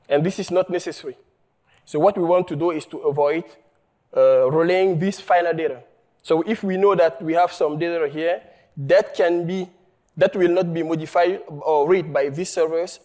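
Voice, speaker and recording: A man from Cameroon, giving a conference talk on his research, halting often and checking in with the audience. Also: on the high side, mellow. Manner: halting, many fillers and restarts, checks in with "okay?". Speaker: researcher presenting a paper. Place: conference hall.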